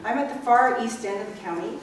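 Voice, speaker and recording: A middle-aged Canadian woman gives an unscripted campaign speech as an election candidate, conversational and halting, repeating words as she goes. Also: fairly high pitch. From rural Canada. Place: indoor public meeting room. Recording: echoey room, distant mic, faint noise.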